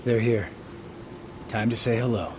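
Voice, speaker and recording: A man playing a brash, wry fighter in a video game, with a blunt, excitable delivery. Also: mid-range voice, measured pace, noisy background, natural voice. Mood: urgent, eager.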